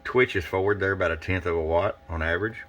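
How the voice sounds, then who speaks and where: Very deep-voiced man, casual demo. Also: quick pace, a bit bright. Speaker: radio shop technician and presenter. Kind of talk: casual hands-on product demo, off the cuff. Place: indoors at a radio workbench.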